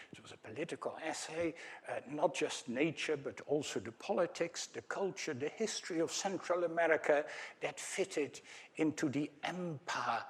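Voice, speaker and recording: An older man with a Dutch accent lectures at an unhurried pace, stopping often on ums and uhs. His delivery is hesitant but friendly, broken by wry little asides to the audience, in a mid-pitched voice. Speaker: academic speaker. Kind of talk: conference lecture with slides.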